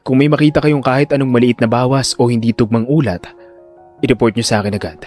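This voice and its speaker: A man playing a calm, measured hospital director and devoted son in an audio drama, solemn and firm. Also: medium pitch, soft background music, lightly acted. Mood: firm, composed. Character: grown male doctor and hospital director, calm, grieving son.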